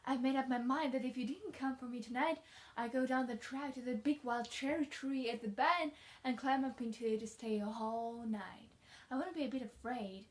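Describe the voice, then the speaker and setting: Woman voicing an eager, chattering orphan girl, high-pitched. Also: lightly acted. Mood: eager, chatty. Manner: effusive, chattering, dreamy. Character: talkative, eager, imaginative young orphan girl.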